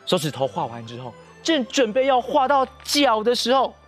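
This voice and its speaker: Young Taiwanese-accented man, kids' TV storyteller; high, very lively intonation. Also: moderate pace, faint music behind. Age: young adult. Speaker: children's TV storyteller. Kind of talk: animated children's story narration. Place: TV studio set.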